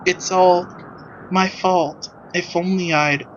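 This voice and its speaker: Man putting on a high, flustered tsundere anime girl. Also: steady tone, slow pace, dull audio, slight background noise. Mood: anguished. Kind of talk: visual novel voice reading.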